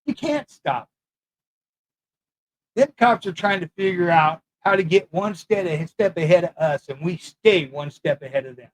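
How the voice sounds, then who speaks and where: A man who served prison time, talking into a mic in a loud voice with blunt, profane street talk and a rambling manner. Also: sits high, expressive, normal speed. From California. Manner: rambling, loses his thread. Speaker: former prisoner sharing prison know-how. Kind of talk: casual monologue to camera.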